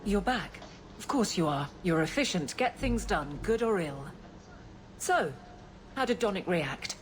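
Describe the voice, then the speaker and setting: A brisk captain of the guard in a fantasy video game. She is stern and authoritative yet awkward, speaking fairly low in clipped phrases. Clear noise sits behind her. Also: expressive. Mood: brisk, flustered.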